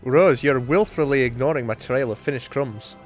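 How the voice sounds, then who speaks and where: A man's voice: mid-range voice, normal speed, soft background music, close to an everyday voice. Man doing a prim, exasperated Scottish alien woman. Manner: formal, fussy, wordy.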